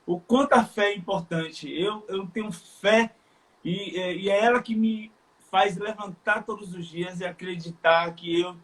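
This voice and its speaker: A man from Salvador with a Bahian accent, speaking earnestly and haltingly in a casual chat, with many fillers. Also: sits high, a bit bright, rough recording. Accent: Bahian Brazilian Portuguese. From Salvador, Bahia, Brazil. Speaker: live stream guest.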